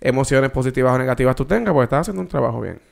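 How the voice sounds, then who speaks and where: A man's voice: mid-range voice, expressive, racing, vocal fry. A young man with a Puerto Rican Spanish accent, co-hosting a casual chat and giving firm opinions with English phrases mixed in. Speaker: podcast co-host.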